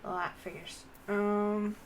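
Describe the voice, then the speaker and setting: A woman's voice: medium pitch, level tone, slow pace, slight background noise. A woman doing casual live gameplay commentary, muttering and thinking aloud to herself. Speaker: game streamer.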